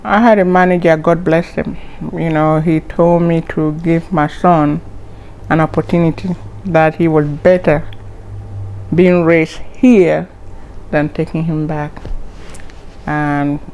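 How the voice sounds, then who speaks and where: A woman in an interview speaking in a halting, emotional way and restarting her words, on a muffled lo-fi recording. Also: low voice, steady tone, gravelly, darkish tone, slight background noise. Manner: halting and emotional, with repeated starts.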